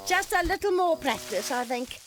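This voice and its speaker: A woman's voice: high-pitched, lively intonation, normal speed, over music. A woman voicing a cheerful, clumsy female ostrich in a children's cartoon, exclaiming in a flustered but upbeat way. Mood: determined.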